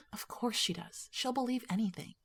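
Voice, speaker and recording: A woman's voice: a little high, moderate pace, vocal fry, fairly bright tone, a little hiss or hum. A woman playing a sceptical, cautious teenage schoolgirl in audio drama dialogue, with a dry, dismissive manner. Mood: sceptical, wary.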